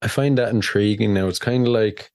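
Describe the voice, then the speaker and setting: A man hosting an interview, chatty and quick to laugh. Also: on the low side, a little mellow, dry recording, studio quality. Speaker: podcast host. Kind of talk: casual interview.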